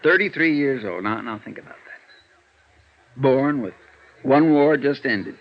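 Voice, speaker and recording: An actor playing a weary, conscientious defense lawyer in old radio drama, earnest and downcast, on a dated recording. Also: male voice, mid-range voice, expressive, slow pace. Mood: somber, musing. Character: weary, conscientious male defense lawyer, troubled by his client. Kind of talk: 1950s radio drama dialogue. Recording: dull, faintly noisy old radio recording.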